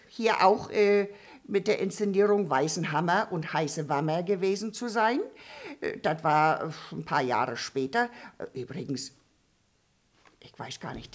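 A woman voicing a gossipy old female puppet who rambles, stammers and restarts her sentences. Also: lowish voice. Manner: stammering, full of fillers and restarts. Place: dark theatre stage.

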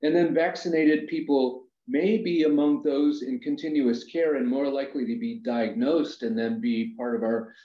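A man's voice: medium pitch, warm tone. Man briefing with fillers, dull roomy sound. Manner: measured, hesitant with many fillers. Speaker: public health official. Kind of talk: briefing on a video call. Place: office. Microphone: video call. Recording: roomy, dull video-call audio.

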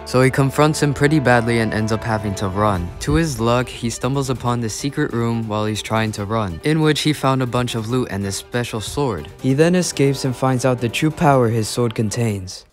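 A young man narrating his game episode and chatting in voice chat, excitable and quick to repeat himself in bursts. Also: medium pitch, faint music behind.

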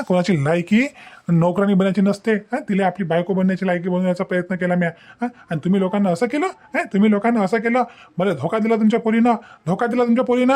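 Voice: mid-range voice, a little mellow, lightly acted. An actor playing an indignant, bitter young groom in a cartoon family melodrama, accusing and dramatic, with a Vidarbha accent.

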